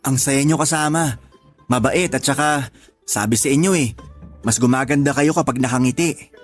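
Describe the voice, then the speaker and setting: A man's voice: medium pitch, normal speed, soft background music, close to an everyday voice. A man playing a young, humble, working-class Filipino man in a radio drama, smitten, teasing and plain-spoken. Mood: sincere, admiring.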